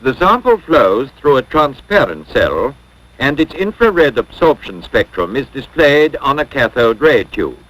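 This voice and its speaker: A man narrating a 1950s educational film from a script in a measured, formal, instructional way. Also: medium pitch, very animated, fairly bright tone, poor audio.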